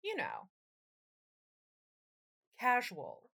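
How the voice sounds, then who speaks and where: A woman's voice: on the high side, wildly swooping pitch, very slow, gravelly, pushed and bright. A woman co-hosting a podcast in casual, sweary chat, with a wry, self-deprecating and playfully dramatic manner. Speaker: podcast co-host.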